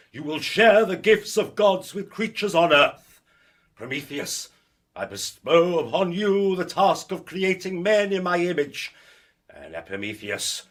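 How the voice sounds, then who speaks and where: An actor as a grand king of the gods in a radio drama. He declaims slowly and commandingly in a fairly high male voice, stately throughout. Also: fairly bright tone, exaggerated voice.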